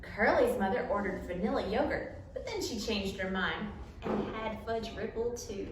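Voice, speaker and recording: A woman reading a picture book to children as a story time host, expressive, with dramatic emphasis and pauses. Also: mid-range voice. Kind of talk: animated picture-book read-aloud for children. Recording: echoey room, distant mic, light noise.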